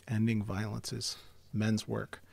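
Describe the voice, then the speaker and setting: A middle-aged man hosting an interview, asking questions in a relaxed conversational way. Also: fairly low pitch, a little slow, vocal fry, no echo. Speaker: host.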